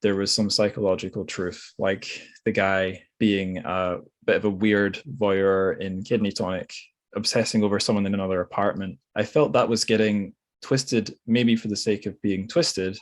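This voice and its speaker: Low-voiced man hosting a book podcast. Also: level tone, moderate pace. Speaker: podcast host. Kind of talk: casual book discussion.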